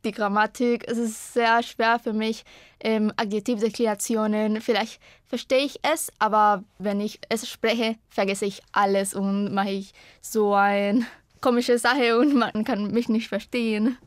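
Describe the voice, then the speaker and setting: A woman's voice: medium pitch, steady tone, unhurried, no room sound, studio quality. Young woman speaking learner German. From Costa Rica. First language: Spanish. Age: 20s. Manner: hesitant, searching for words. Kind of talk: personal self-introduction.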